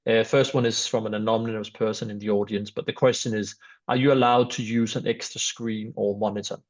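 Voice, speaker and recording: A man's voice: lowish voice, steady tone, brisk. Polite man hosting, dull lo-fi audio. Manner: polite, reads out audience questions. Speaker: webinar host. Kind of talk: moderating a webinar Q&A.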